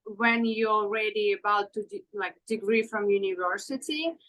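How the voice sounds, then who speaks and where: A woman in her thirties from Ukraine, a fashion designer answering interview questions in halting with many fillers. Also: mid-range voice, fairly bright tone, poor audio. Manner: halting non-native English with fillers.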